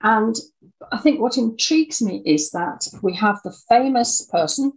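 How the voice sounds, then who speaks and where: Gravelly, husky older woman. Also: fairly high pitch, expressive, poor audio. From Scotland. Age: 60s or older. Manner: thoughtful, halting with repeated words. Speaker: interview guest, audio dramatist. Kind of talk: reflective interview conversation.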